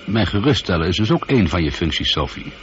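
A man's voice: sits low, sing-song, fast. An actor playing a lone male astronaut in a radio drama, wry and musing, slightly uneasy. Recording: dull, slightly noisy old radio recording.

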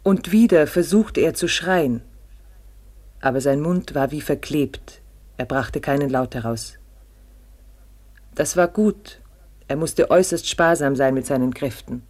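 An actor narrating a survival story gravely in measured short sentences, in a 1950s German radio drama. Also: male voice, on the high side, a little mellow.

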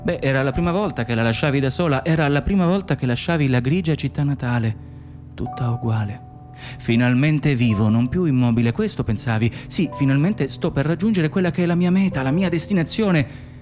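A persistent, wistful wanderer played by a male actor in a radio drama. He is insistent and theatrical, circling back on his own phrases in a mid-pitched voice, with music in the recording. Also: fairly quick, a little mellow, lightly acted. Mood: fervent, bitter. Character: persistent, wistful male stranger musing on travel and home. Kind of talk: Italian radio play acting.

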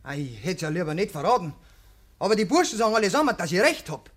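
An actor playing a brazen young village lad in a German radio play, defensive and self-justifying, in Bavarian dialect. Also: male voice, sits high, very animated, fast. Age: young adult. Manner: hedging, defensive.

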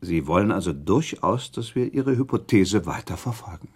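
A man acting in a German radio play as a haughty, evasive aristocratic gentleman under accusation, with formal, defensive delivery and a husky voice. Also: low-pitched, animated, moderate pace, full character voice. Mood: haughty, dismissive.

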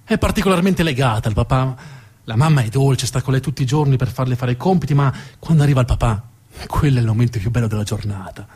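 A man's voice: mid-range voice, quick pace, darkish tone, slightly rough. A man narrating as a stage storyteller, dramatic in delivery and repeating words for emphasis.

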